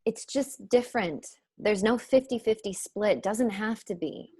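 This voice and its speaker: A woman's voice: mid-range voice, unhurried, darkish tone, breathy edge. A woman playing a defensive, sincere young college student in a staged play reading.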